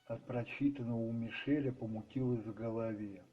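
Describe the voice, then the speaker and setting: A man narrating a radio play as a storyteller, expressive and reflective, with pauses and musing asides. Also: mid-range voice. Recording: slightly muffled recording with music underneath.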